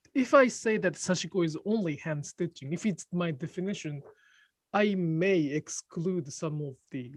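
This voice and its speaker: A man with a Japanese accent, a native Japanese speaker, talking about stitching in a casual group conversation, pausing to find words and often laughing. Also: on the high side, no echo. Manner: thoughtful, pauses to search for English words, laughs often. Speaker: sashiko teacher.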